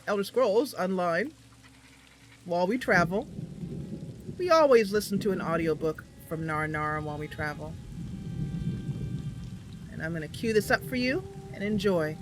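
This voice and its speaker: A woman hosting a gaming stream, chatting casually to her viewers with game sound behind her. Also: lowish voice. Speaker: streamer and host of a roleplay gaming channel.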